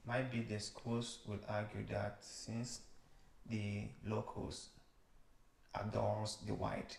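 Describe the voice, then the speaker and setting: A man's voice: fairly low pitch, little pitch movement, takes it slowly, gravelly, echoey room, slight background noise. A man with a Cameroonian accent hosting a studio interview, asking probing questions. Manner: questioning, leading the talk. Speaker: show host. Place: small studio living-room set, indoors.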